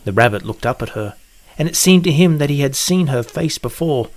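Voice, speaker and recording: A man reading a children's storybook aloud in a calm, measured, gentle way. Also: a little high. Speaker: narrator. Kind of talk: scripted storybook narration.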